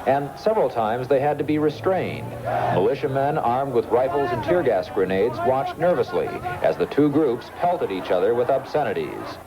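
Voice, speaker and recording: A man's voice: medium pitch, very animated, normal speed, loud music behind the voice, rough recording. An American man narrating a scripted news report as a foreign correspondent.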